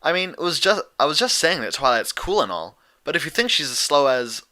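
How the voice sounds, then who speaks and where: A man's voice: a little high, big pitch swings, a little fast, bright, forceful tone, voice pushed up. A man playing a brash, cocky young female pegasus pony in a story reading, with blunt, slangy bluster. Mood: defensive, smug.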